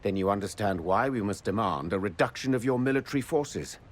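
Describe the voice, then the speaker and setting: A man's voice: fairly low pitch, a little fast, lightly acted. A man playing a stern but courteous noble envoy in a video game, formal and diplomatic. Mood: firm. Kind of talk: dramatic video game dialogue.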